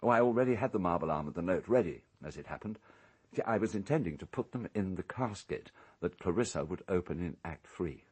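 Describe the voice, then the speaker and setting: An actor playing an urbane, calculating English island owner in a radio drama, speaking in a measured, precise, coolly unrepentant way. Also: male voice, low voice, lively intonation, a little mellow, husky. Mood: cool, matter-of-fact.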